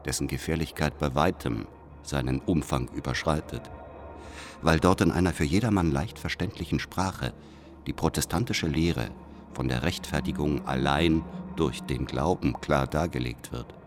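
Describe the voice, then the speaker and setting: A very deep male voice plays a calculating spy dictating secret letters in a radio drama. He is formal, measured and deferential to his master, with music clearly playing behind him. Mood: coolly reporting.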